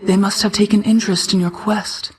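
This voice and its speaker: Video game goddess of night, female actor: ageless, solemn, maternal, stately archaic diction, medium pitch. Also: level tone, lightly acted. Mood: solemn, guarded. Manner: formal, measured, stately, archaic phrasing. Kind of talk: scripted video game voice acting.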